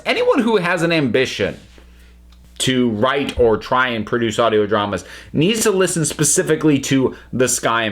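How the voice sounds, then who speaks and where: A man giving an unscripted review to viewers, speaking emphatically and haltingly as he thinks aloud. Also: mid-range voice, fairly bright tone. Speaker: reviewer and channel host.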